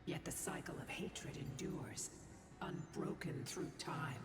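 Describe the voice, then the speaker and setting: Cryptic solemn game oracle, far off w long reverb, music under it. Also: male voice, fairly high pitch, slow pace. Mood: ominous, solemn. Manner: formal, portentous, solemn. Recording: distant, echoing game audio over music.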